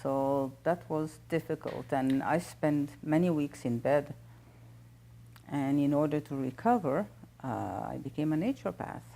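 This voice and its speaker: An older woman from French-speaking Switzerland, a native French speaker with a Swiss French accent mixed with Indian English, calmly telling her life story as an interview guest. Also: low-pitched, measured pace, gravelly, poor audio. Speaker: guest, naturopath.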